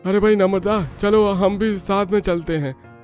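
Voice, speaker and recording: A man acts out an eager, freeloading husband from an Indian family cartoon. His voice sits high, and the recording is dull with faint music behind it. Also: fairly even intonation, unhurried. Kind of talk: Hindi family cartoon dialogue. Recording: dull lo-fi sound over music.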